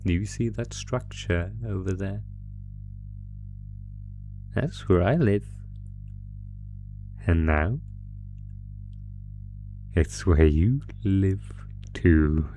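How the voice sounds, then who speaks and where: A man's voice: low-pitched, extremely slow, long pauses, dark tone, soft background music. A man voicing an ancient, possessive monster captor in a horror roleplay, gloating with menacing, mock-tender teasing. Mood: possessive pride. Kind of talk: horror roleplay audio acting.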